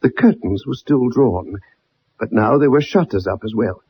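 A man's voice: mid-range voice, expressive, normal speed, slight background noise, lightly acted. An actor playing an earnest, dogged young ex-soldier, telling his story in a formal, dramatic way in a radio drama. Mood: earnest recounting. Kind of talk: 1960s radio drama acting. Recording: muffled old radio broadcast.

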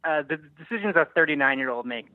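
A forty-year-old man talking casually and haltingly as a screenwriter taking feedback in a group discussion. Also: a little high, animated, dry recording, narrow, tinny audio. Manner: hesitant, halting. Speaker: screenwriter getting script feedback.

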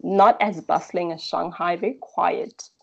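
A woman's voice: lowish voice, a little slow, vocal fry, dull audio. A woman with a South African accent speaking reflectively in a conversational interview, choosing her words in a thoughtful, hesitant way. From Durban, South Africa. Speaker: interview guest.

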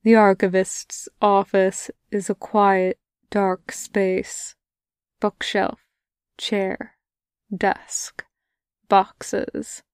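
A woman reads a story in a slow, unhurried and hushed way, her voice clean and smooth. Her phrases come in broken fragments. She sits close to a dry mic with no room sound around her. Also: mid-range voice, flat delivery, no echo. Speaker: podfic reader. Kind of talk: scripted fan fiction reading.

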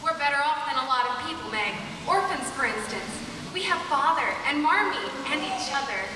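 A woman playing a gentle, contented young sister in an old-time radio drama, earnest and mild. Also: high voice, expressive, normal speed, background noise. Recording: very echoey hall, distant mic.

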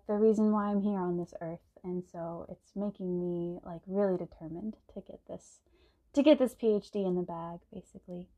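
Young adult woman from Texas, podcast host, casual solo chat with easy laughter; mid pitch, slightly dull recording. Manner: chatty, laughs often, many ums.

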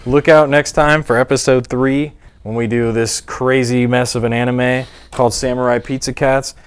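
A man's voice: medium pitch, level tone, normal speed, smooth. A man hosting a live stream in casual chat, joking loosely and swearing freely. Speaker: show host. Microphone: desk mic, shared setup.

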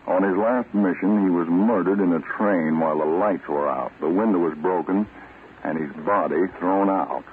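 An actor playing a stern, self-assured American government agent in a 1940s radio drama, speaking with deliberate authority. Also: male voice, low voice, close to an everyday voice. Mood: grave, explaining. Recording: muffled, tinny, noisy old radio recording.

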